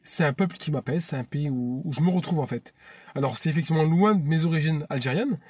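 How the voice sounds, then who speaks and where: A man's voice: a little high, quick pace, a little mellow, slight background noise. A man in his thirties from France, hosting his own channel in an earnest, chatty vlog monologue that often restarts phrases. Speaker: YouTube channel host.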